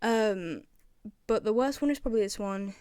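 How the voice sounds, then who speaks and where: A woman's voice: medium pitch, normal speed, darkish tone, clean tone, dry recording. A woman presenting casual fan commentary in an enthusiastic way, repeating phrases for emphasis. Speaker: video presenter.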